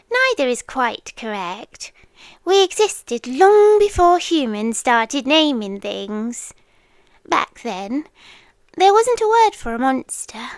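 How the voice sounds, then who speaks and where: Ancient protective female creature played by a woman, British, high-pitched and hushed, eerie yet tender, very slow intimate ASMR delivery. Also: no room sound, full character voice. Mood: solemn, eerie. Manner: hushed, often whispering, with lingering pauses.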